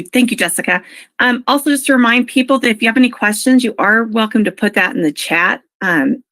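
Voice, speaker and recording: A woman presenting an informational webinar in an instructive, encouraging way, her voice froggy and gravelly from allergies. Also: on the low side, a bit bright, dry recording. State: froggy from allergies, clearing throat.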